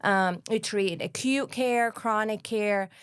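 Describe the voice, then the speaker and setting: A woman's voice: medium pitch, clean tone, dry recording, studio quality. A woman from Lebanon, a family doctor and interview guest, talking earnestly with frequent ums. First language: Arabic. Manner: earnest, talks fast with many ums. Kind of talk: casual interview talk.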